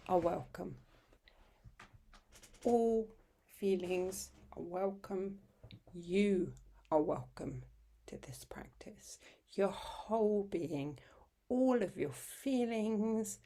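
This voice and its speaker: A woman leading a guided meditation as a yoga nidra instructor, soft, breathy and husky, soothing and unhurried, with long pauses between short phrases. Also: medium pitch.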